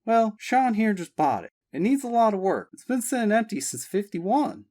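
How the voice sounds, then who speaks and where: A woman's voice: medium pitch, sing-song, normal speed, mellow, pitched above the performer's own voice. A man voicing an outspoken young woman, speaking emphatically. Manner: emphatic, exclaiming. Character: spirited young Southern woman, outspoken and teasing.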